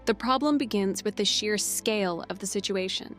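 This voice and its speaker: A woman's voice: mid-range voice, normal speed, a bit bright, soft background music. A woman giving a scripted, factual read-aloud of a news article. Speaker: reader of quoted journalism.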